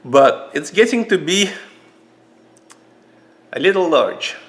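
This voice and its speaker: A man with a Russian accent casually reviewing knives at a table for his channel, halting with many fillers and restarts. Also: a little high, big pitch swings, fairly bright tone. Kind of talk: casual knife review vlog. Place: indoors at a table.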